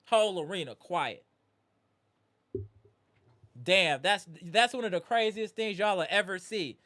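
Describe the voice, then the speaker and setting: A man hosting a live postgame basketball reaction stream, animated and greeting viewers in the chat, his voice strained from yelling. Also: high-pitched, unhurried, no echo. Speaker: livestream host.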